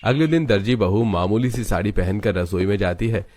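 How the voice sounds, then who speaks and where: A man giving scripted narration for an animated family story. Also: fairly low pitch, brisk.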